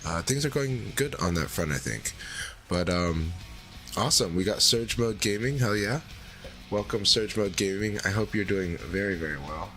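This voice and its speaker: A man hosting a livestream in a relaxed, rambling way, his voice deeper than usual after secondhand smoke. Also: moderate pace, soft background music. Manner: relaxed, rambling, many fillers. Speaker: streamer. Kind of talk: casual livestream chat.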